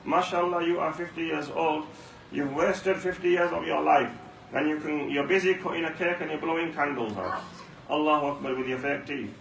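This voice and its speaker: A man's voice: fairly high pitch, normal speed, vocal fry, hardly any pauses, fairly bright tone. Man preaching in echoey hall. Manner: storytelling, repeats lines for emphasis. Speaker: mosque speaker. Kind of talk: Ramadan talk to a congregation. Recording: echoey room, mic far off, faint hum.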